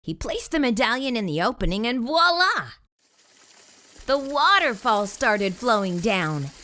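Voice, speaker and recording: Woman, children's read-aloud storyteller, fairly high voice, very lively intonation, theatrical emphasis. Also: a little slow. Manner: animated, theatrical emphasis on exclamations. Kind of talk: expressive children's picture book read-aloud.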